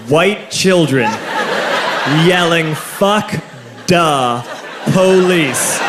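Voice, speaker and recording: A man telling a story as a stand-up comedian, with an emphatic, theatrical delivery, in front of a live audience. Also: on the high side. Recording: roomy live stage recording with loud audience. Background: laughing crowd, background noise.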